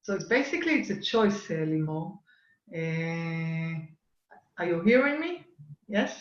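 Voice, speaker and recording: A woman co-hosting an online coaching talk, unhurried and putting her points as repeated questions. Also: lowish voice, lively intonation, roomy sound, muffled recording. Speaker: co-host and facilitator.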